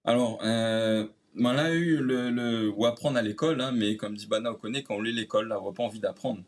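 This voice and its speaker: A man's voice: fairly low pitch, fairly quick. A man from Réunion with a Réunionese accent, chatting casually as a guest and filling his sentences with hesitant fillers. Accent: Réunionese French. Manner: hesitant, many "euh" fillers, creole-tinged phrasing. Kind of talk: casual interview chat.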